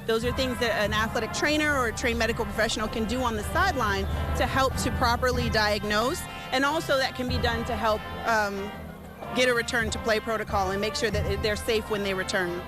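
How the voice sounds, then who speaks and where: A woman giving measured, clinical explanations as a sports medicine expert in an interview. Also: a little high, fairly even intonation. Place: high school football game. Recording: loud music behind.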